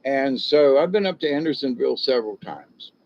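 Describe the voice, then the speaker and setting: An older man with a slight Southern drawl hosts a rambling, folksy livestream and keeps drifting from one story to the next. His voice is mid-pitched and ordinary. The audio is dull and lo-fi. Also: animated, brisk, vocal fry. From born in Ohio, raised in Florida and South Georgia. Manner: folksy and digressive, chuckling at his own jokes, mimics others in a high voice. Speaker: livestream host chatting with viewers. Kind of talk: rambling casual chat.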